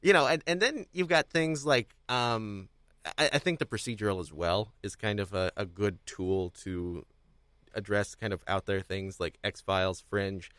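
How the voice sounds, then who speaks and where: A man's voice: fairly low pitch, measured pace, a bit bright, clear, resonant tone, no echo. A man co-hosting a podcast in casual chat, thinking aloud in a rambling, hesitant, jokey way. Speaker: podcast co-host.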